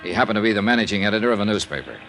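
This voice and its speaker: An actor playing a wry, clipped American insurance investigator in old-time radio drama style. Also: male voice, lowish voice, animated, very fast, a bit bright, faint music behind. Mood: brisk, businesslike. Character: brisk, wry male freelance insurance investigator. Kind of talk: 1940s-50s radio acting, first-person narration and dialogue.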